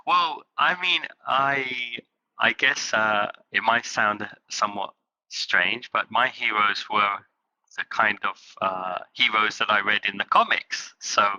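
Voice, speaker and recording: A man from England, a company's chief executive, answering interview questions in halting phrases with many fillers. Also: fairly low pitch, vocal fry, bright, forceful tone, poor audio. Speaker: guest, company CEO.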